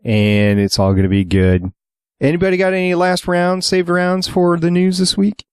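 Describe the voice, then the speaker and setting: A man's voice: high voice, moderate pace, smooth, no echo, clean studio sound. A man hosting a fan podcast, chatting casually in an easygoing, agreeable way as he moves the show along. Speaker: podcast host. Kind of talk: casual chat, moderating segments.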